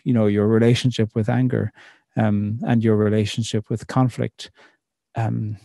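Gravelly, flat-voiced man. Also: fairly low pitch, dry recording, studio quality. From Ireland. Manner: thoughtful, measured, many pauses and fillers. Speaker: poet, interview guest. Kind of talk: reflective conversational interview.

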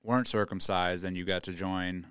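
Deep-voiced casual co-host. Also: male voice, steady tone, moderate pace, fairly bright tone, smooth, no echo, clean studio sound. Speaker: podcast co-host. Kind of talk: casual chat.

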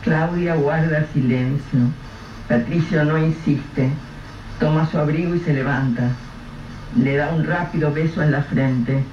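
Male narrator, measured literary delivery, dull lo-fi sound with background noise. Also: on the high side, steady tone. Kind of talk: scripted radio-drama narration. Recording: distant, roomy, dull, noisy radio-play recording.

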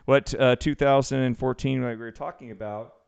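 A man's voice: mid-range voice, fairly even intonation, a little fast, creaky, a little mellow. A middle-aged man hosting a casual show, rambling loosely with many fillers as he introduces his guest. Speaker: podcast host. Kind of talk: casual chat.

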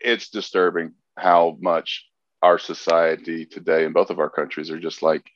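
A man's voice: low voice, no echo, highs cut off. A man from the United States, a guest in a casual podcast chat, who talks earnestly and keeps restarting his sentences. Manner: halting, restarts often, earnest.

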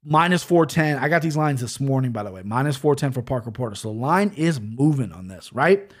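A man's voice: medium pitch, lively intonation, fairly quick. A man breaking down fight betting lines in a casual way. He is brash and profane, and he rambles. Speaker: sports betting picks host.

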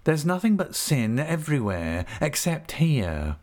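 A man's voice: on the high side, very animated, measured pace, a little mellow, pitched above the performer's own voice. A man reading an audiobook, voicing a falsely humble, scheming young male clerk with an oily, cringing manner. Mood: whining. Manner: oily, cringing humility with a whining edge. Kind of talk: audiobook character voice.

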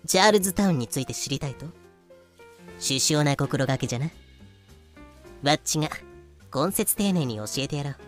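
A woman's voice: sits low, lively intonation, moderate pace, pauses often, faint music behind. A woman playing a proud female merchant in an audio drama, lecturing in haughty, old-fashioned speech. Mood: proud, instructive. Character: proud, archaic-talking female merchant from colonial Carolina. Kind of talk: in-character explanation in a voice drama.

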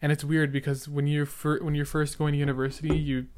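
A man's voice: on the high side, little pitch movement, fast, a little mellow. Young man with a stutter, rambling. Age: young adult. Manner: earnest, rambling, filler-heavy. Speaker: podcaster reacting to his own episode. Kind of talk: casual reaction commentary.

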